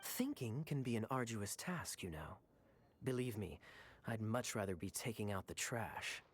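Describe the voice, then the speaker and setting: Suave, sly young knight captain. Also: male voice, lowish voice, very animated, slightly rough, heavily acted. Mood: wry.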